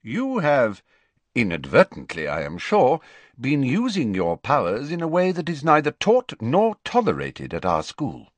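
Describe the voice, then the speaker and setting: Man acting elderly wizard schoolmaster, storybook character, calm, stern, deliberate, very expressive pitch, close dry sound. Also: dry recording. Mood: stern, measured. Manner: measured, deliberate, courteous but firmly authoritative.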